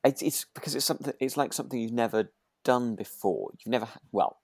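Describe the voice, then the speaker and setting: A man's voice: medium pitch, fast talker, creaky, darkish tone, slightly rough, no echo, clean studio sound. A British man co-hosting a podcast, chatting casually and ramblingly, often rephrasing as he goes. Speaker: podcast co-host. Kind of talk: casual chat about a radio drama.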